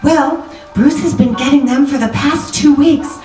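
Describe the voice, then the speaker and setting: Roomy, off-mic recording: actress as worried genteel young wife, high voice, polite and hesitant, old radio drama. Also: female voice, unhurried, mellow. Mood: anxious, polite. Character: anxious, genteel young married woman seeking a detective's help. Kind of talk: 1940s radio drama acting. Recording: roomy, distant microphone, music behind.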